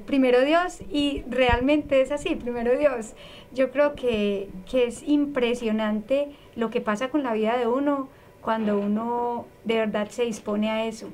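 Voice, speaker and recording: A woman with a Colombian paisa accent from Medellín, telling her story warmly as an interview guest, with lots of fillers. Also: fairly high pitch, slight background noise, low-quality recording. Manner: warm and effusive, frequent fillers.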